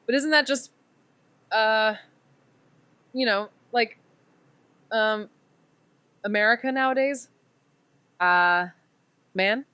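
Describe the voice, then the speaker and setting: An excitable amateur sleuth. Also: female voice, a little high, clear, resonant tone, no room sound. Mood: rambling. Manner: excitable, rambling, quick to exclaim. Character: curious excitable young woman sleuth. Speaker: amateur investigator, Amy. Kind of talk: audio drama acting.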